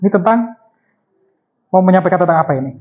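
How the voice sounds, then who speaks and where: A man's voice: high voice, expressive, quick pace. Male teacher, muffled recording. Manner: prompting with short questions and repeating answers. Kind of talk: classroom instruction, questioning students.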